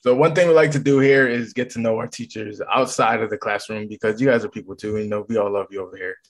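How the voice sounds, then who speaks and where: A man's voice: on the low side, steady tone, fast. An American man hosting a show, speaking informally in a casual, chatty interview style. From Massachusetts, United States. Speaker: show host.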